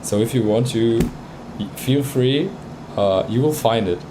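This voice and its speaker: A man's voice: medium pitch, a little slow, noisy background. A man whose first language is Bosnian, hosting a music livestream in casual chat, hesitant and full of fillers and restarts. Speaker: livestream host reviewing music tracks.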